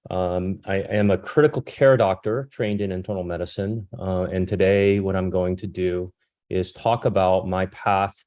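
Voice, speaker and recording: A man in his fifties whose first language is Korean gives an informal talk as a guest doctor. His voice sits very low and has a dark, mellow tone. He speaks in halting phrases, pausing partway through his thoughts. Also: steady tone. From born in South Korea, grew up in France and the United States. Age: 50s. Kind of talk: informal presentation about his career.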